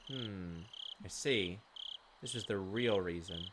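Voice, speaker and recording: A man hosting a game video, reading visual novel text aloud with slow suspense and dropping into casual commentary. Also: low voice. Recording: clearly noisy home recording, clicks, insect sounds.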